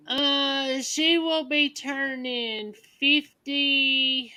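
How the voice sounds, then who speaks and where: Woman host, bright and slow. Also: high voice, steady tone. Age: 50s. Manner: chatty, laughs easily. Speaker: livestream host. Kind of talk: casual chat.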